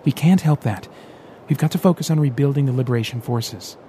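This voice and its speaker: A man voicing an excitable young male technician who explains his gadgets eagerly. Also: medium pitch, a little fast, a little hiss or hum, pitched above the performer's own voice, close to an everyday voice. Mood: earnest.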